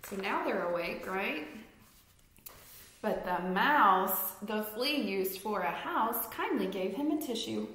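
A woman in her thirties reading a children's picture book aloud expressively, deliberately stressing rhymes and putting questions to young listeners. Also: mid-range voice, lively intonation, a bit bright, a little hiss or hum. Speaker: read-aloud storyteller. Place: indoor room at home with fireplace mantel. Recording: echoey room.

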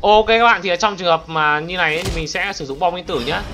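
A gaming video host giving excited gameplay commentary, exclaiming often and addressing viewers like friends. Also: mid-range voice, fast. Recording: music behind the voice. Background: background music.

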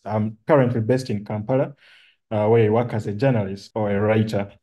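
A man's voice: lowish voice, fairly even intonation, brisk, dark tone, highs cut off. A young man with a Ugandan accent being interviewed on a video call. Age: young adult. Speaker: journalist interviewee. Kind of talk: interview talk about career. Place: room at home, video call.

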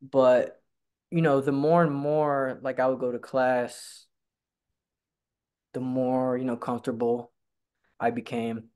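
A man's voice: mid-range voice, level tone, takes it slowly, long pauses, darkish tone, clean tone. A young man who stutters, talking on a video call from home, with lots of fillers and repeated words. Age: young adult. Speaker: guest. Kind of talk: casual video-call interview. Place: home room by a staircase. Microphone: laptop webcam on a video call.

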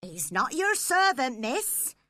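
A woman voicing an adult female housekeeper in a storybook reading, in a brisk, matter-of-fact way. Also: high-pitched, very animated, bright, forceful tone, dry recording, studio quality, voice pushed up, full character voice.

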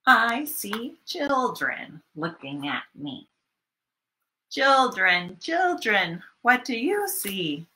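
A woman in her thirties leading a children's storytime, speaking in an animated, sing-song way with repeated phrases for young listeners. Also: mid-range voice, extremely slow, slight background noise. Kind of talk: children's storytime read-aloud and singalong. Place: small furnished room at home, indoors.

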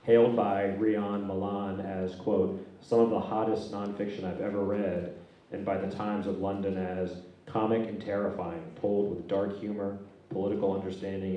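A man's voice: deep voice, normal speed, highs cut off. A middle-aged man moderating a panel, reading out speaker introductions with a handheld mic on a stage. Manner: reads out speaker bios in a steady, even way. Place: stage in a large dark hall. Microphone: handheld microphone. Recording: echoey hall, from far off.

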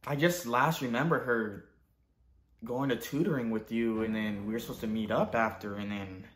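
Young american guy doing awkward student skit, deadpan n slangy, echoey room, normal pitch. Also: moderate pace. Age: young adult. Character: naive, awkward male student. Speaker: host of a parody channel. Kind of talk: casual comedy roleplay. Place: indoors in a living room. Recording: roomy, echoey indoor sound.

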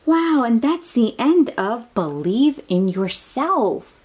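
A woman's voice: fairly high pitch, very animated, slow, darkish tone, slightly rough. A woman reading a picture book aloud to young children in an encouraging, exclaiming way, with chatty asides and questions to the listener.

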